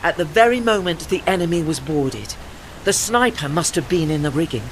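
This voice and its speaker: A woman playing a dignified, retired old warship in an audio drama, gentle and wistful, speaking in a measured, articulate and formal way. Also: deep voice, animated, a little hiss or hum. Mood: solemn recollection.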